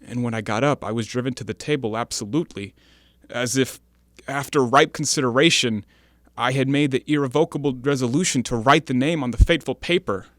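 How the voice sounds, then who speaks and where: An anguished former convict , played by a male actor, pleads his case in formal, declamatory stage language. He is a man of medium pitch, earnest and guilt-ridden, heard in a dry, close recording. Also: brisk, fairly bright tone, no room sound, lightly acted. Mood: bewildered, intense. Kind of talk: stage-play acting in an audio drama.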